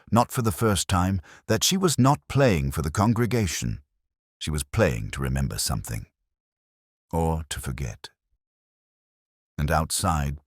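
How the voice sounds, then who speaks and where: A man playing a brooding, observant detective inspector, narrating a mystery story in a measured, reflective, literary way with deliberate pauses. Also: rumbling low, dry recording, studio quality, full character voice. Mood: pensive, melancholy. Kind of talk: scripted first-person detective story narration.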